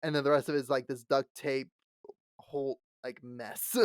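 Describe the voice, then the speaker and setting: A man's voice: medium pitch, steady tone, normal speed, clean tone, no echo. Late 20s guy cohosting a podcast, laughs a bunch, does little voices for quotes, dead dry mic. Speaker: podcast co-host. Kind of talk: casual chat.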